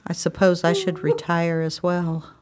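A woman's voice: on the low side, level tone, a little slow, heavy background noise, lightly acted. A woman playing a stern, controlled, reproving sister in a radio chiller, speaking in a firm, clipped, commanding way. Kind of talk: theatrical radio play acting.

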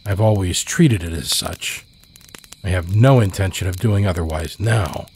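Slow, low-voiced male horror narrator. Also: darkish tone, slight background noise. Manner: deliberate, dramatic pauses. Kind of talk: dramatic scripted narration.

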